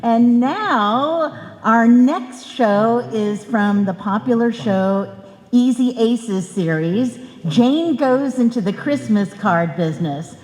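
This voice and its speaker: A woman's voice: a little high, lively intonation, slow. A woman host making live stage announcements in a hall in front of an audience. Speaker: host introducing acts. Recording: echoey hall with loud audience.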